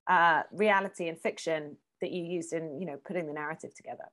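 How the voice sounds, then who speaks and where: A woman's voice: sits low, fairly even intonation, fast, fairly bright tone. A woman in her thirties asking conversational interview questions over a video call from a room at home.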